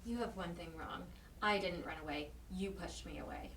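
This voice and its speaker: A defiant, wounded young surgeon daughter, played by a woman in an audio drama. She speaks earnestly and confrontationally at a middling pitch, heard from a distance in an echoey room. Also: normal speed, a bit bright, natural voice. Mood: bitter, restrained.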